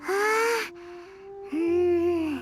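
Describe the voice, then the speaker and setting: Very high, squeaky little fairy girl. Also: very slow, music in the background, exaggerated voice. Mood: reluctant, grumbling. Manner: speaks of self in third person, squeaky, dramatic. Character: tiny childlike fairy companion girl, excitable, easily spooked, whiny. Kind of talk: anime-style video game voice acting.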